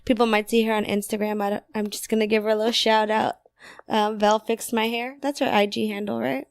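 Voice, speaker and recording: Young woman, raspy voice, slightly high pitch, casual chatty podcast co-host, animated, close dry sound. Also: brisk, no echo. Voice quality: raspy, worn voice. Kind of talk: casual chat.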